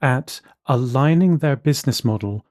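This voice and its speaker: A man's voice: medium pitch, normal speed, darkish tone, no echo, clean studio sound. A man co-hosting a podcast in casual tech chat, opinionated and emphatic, often repeating words for stress. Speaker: podcast co-host.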